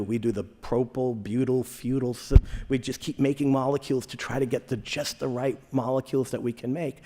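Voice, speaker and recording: A man's voice: mid-range voice, mellow. A middle-aged man with a Boston accent giving a conversational conference talk, often repeating words as he restarts a phrase. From Boston area, Massachusetts. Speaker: conference speaker. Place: large conference hall. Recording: echoey hall reverb.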